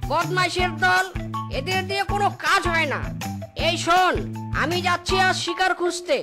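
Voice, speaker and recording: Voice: very high voice, steady tone, normal speed. A performer voicing a foul-mouthed, blustering male fox chieftain, a cartoon villain. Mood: angry. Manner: blustering, threatening, swearing. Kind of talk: cartoon character acting. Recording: loud music behind the voice.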